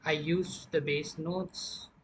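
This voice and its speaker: Flat-toned man explaining step by step, noisy background. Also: a little high, slow. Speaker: music production tutorial presenter. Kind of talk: informal screen-recorded software tutorial.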